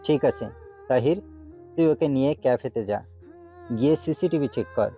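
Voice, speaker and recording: Male actor as young gang boss: mellow dark timbre, cruel, taunting, soft music underneath. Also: medium pitch, moderate pace, natural voice. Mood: taunting, commanding. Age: young adult. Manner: commanding, taunting. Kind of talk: Bengali audio story acting.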